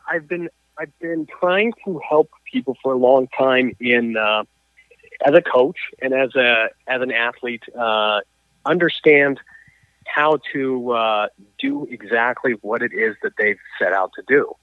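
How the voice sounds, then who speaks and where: A man of average pitch talking as a guest over a tinny, phone-like line. He tells his story in a halting way, restarting sentences and filling pauses with "uh". The sound is dry with no room. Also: no echo. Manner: halting, many restarts and fillers. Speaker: guest, coach and athlete. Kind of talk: conversational storytelling in an interview. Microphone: phone or call line.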